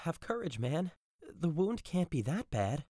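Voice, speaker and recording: A man playing an earnest, peace-seeking young gentleman in an audio drama, with a pleading, sincere delivery. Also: a little high, big pitch swings, measured pace, breathy edge, dry recording, studio quality, heavily acted. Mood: soft, reassuring.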